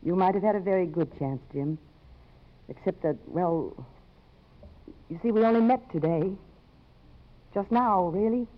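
An American woman playing a brisk, commanding congresswoman with a crisp, authoritative delivery in a 1950s radio drama. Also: fairly low pitch, lightly acted. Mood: tender, composed. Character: brisk, commanding congresswoman, romantic yet self-possessed. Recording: muffled old 1950s radio broadcast.

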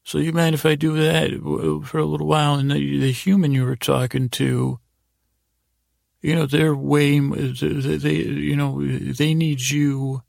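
A man with a heavily creaky, gravelly voice, recorded close and dry. He hosts a podcast as a rambling bedtime monologue. His delivery meanders and halts, often circling back to repeat words. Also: on the high side, little pitch movement, a little mellow, no room sound. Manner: meandering, halting, repeats himself. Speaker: podcast host.

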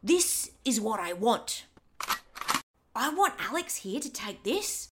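An actor playing a menacing gangster who holds women hostage, taunting them in a cold, threatening way. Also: male voice, very high voice, very animated, slow, heavy background noise, full character voice.

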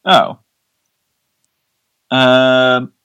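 A man's voice: medium pitch, flat delivery, drawn-out words, fairly bright tone, clean tone, no echo. A British man co-hosting a podcast, chatting casually and hesitantly through quiz banter in a bemused, joking way. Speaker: podcast co-host.

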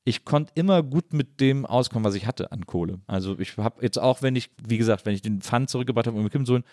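A man's voice: medium pitch, fast talker, dark tone, dry recording, clean studio sound. A man hosting a podcast in a casual chat, talking colloquially and rambling along. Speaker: podcast host.

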